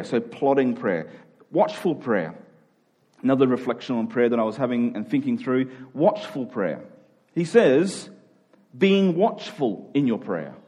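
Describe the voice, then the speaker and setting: A man delivers an informal sermon in a medium-pitched voice. He speaks earnestly and humbly about himself, often doubling back on a phrase as he works through a thought. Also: warm tone. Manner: earnest, self-deprecating, thinks aloud with repeated phrases. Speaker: preacher. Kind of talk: conversational sermon.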